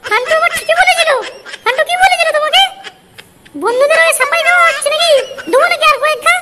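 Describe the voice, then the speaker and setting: A man voicing a furious, bullying cartoon wife who scolds and threatens, with a West Bengal accent and a pitched-up voice effect. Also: quick pace, noisy background. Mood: angry, threatening. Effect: pitched-up voice changer. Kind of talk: amateur comic cartoon dubbing.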